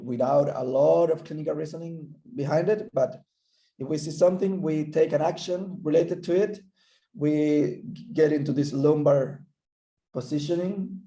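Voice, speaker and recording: A Spanish-accented man delivers an online lecture slowly, stopping to repeat himself as he finds his English. His voice is gravelly and mellow. He sounds like he's in an echoey room on a video call. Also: a little high. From Chile. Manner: halting, repeats words while searching for English. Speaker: physical therapist presenter.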